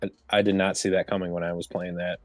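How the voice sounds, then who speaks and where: A man with a lowish voice chats casually as part of a round table on a stream. Soft music plays behind him. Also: level tone, fast talker. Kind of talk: casual chat about video games.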